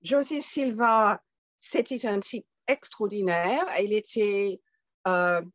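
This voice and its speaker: A woman's voice: medium pitch, takes it slowly, no echo. A woman with a foreign accent in French, explaining things conversationally as an interview guest and instructor.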